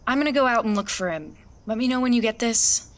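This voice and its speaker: A woman's voice: fairly high pitch, expressive, natural voice. A woman acting in a horror audio drama as a frightened, hesitant woman, with music under her voice. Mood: worried, apologetic. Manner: halting, hesitant delivery. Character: frightened woman recounting a disturbing experience, searching for her dog. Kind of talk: horror audio drama, narration and acted scenes.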